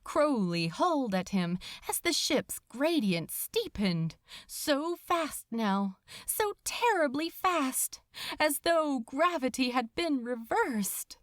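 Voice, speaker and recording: Female fiction narrator, suspenseful and emphatic, very animated melody, dry close sound. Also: high voice, dry recording. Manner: theatrical, suspenseful, deliberate emphasis. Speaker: podfic narrator. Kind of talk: dramatic scripted story narration.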